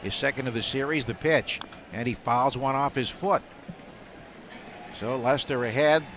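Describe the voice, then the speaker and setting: Bright-voiced male baseball play-by-play announcer. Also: medium pitch, moderate pace. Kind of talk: live radio play-by-play commentary. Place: broadcast booth at an outdoor ballpark. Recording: steady background noise of ballpark. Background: stadium ambience.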